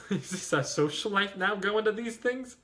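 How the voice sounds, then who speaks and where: A man's voice: sits high, animated, takes it slowly, sharp tone, heavily acted. An actor playing a grieving young man giving a eulogy for a friend in a film drama, raw and bitter. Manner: raw, bitter, rising to anger.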